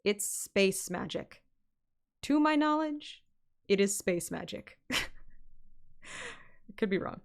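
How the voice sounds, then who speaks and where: A woman's voice: medium pitch, lively intonation, takes it slowly, creaky, long pauses. A woman narrating a story like an audiobook, with casual streamer asides. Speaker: streamer reading a game story aloud.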